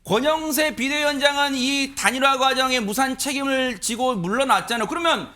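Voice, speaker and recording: A man's voice: very high voice, a little fast, sharp tone. A man hosting a TV news panel show, reacting often and throwing questions to the panelists. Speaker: news talk show host. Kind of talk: moderating a panel discussion. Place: TV studio.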